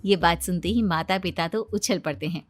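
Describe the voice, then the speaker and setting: A woman's voice: fairly low pitch, brisk. A woman narrating a scripted comic moral tale as storyteller.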